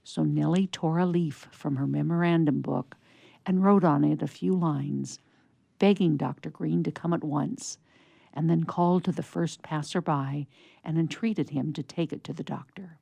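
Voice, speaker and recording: A woman narrating a scripted story for radio theatre in a measured storytelling manner. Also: low-pitched, gravelly, warm tone, dry recording.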